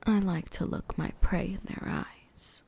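An ancient vampire huntress acted by a woman murmurs to her prey in an ASMR roleplay. Her voice is soft and dark, with breathy whispers and a creaky edge. She speaks very slowly, seductive, possessive and quietly menacing. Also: on the low side, fairly even intonation, heavily acted. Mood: predatory, taunting. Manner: slow, teasing, menacing. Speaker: voice actress.

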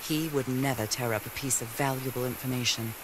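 An actress playing a curious, devoted wife in an adventure game, thinking aloud reflectively with old-fashioned slang. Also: female voice, a bass voice, fairly even intonation, fairly quick, noisy background. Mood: curious, musing. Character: curious, devoted wife searching for her missing husband.

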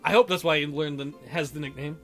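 High, bright-voiced male gamer. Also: steady tone, fast talker. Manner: joking, theatrical, often giggling. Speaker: gamer reading a visual novel aloud. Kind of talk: playful let's-play commentary with dramatic read-aloud. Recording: music under the voice.

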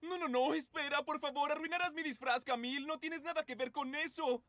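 High-pitched protesting cartoon teen boy. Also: steady tone, fairly quick, pushed and bright, a little hiss or hum. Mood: pleading. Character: anxious, protesting teenage boy. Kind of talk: animated cartoon dub.

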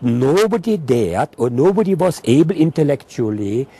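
An older man, a Buddhist monk, speaking reflectively and haltingly in an outdoor documentary interview. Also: a little high, very animated, mellow, breathy edge. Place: outdoors in a green garden.

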